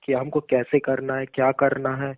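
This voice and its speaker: A man's voice: mid-range voice, completely flat delivery, normal speed, dry recording. A man calling in for a casual phone conversation, speaking agreeably with repeated affirmations and somewhat rambling phrasing. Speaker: caller. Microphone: phone call. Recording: muffled narrow-band phone call recording.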